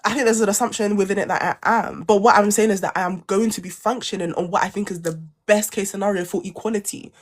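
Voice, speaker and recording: A woman's voice: mid-range voice, steady tone, fast, few pauses. A young woman co-hosting a podcast, arguing insistently in a heated debate, repeating her points and talking over interruptions. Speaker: podcast co-host.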